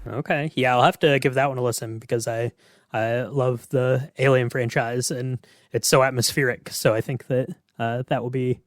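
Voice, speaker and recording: A man's voice: medium pitch, fairly even intonation, normal speed, dry recording. Male podcast host, average register, conversational delivery, very dry room sound. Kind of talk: casual chat.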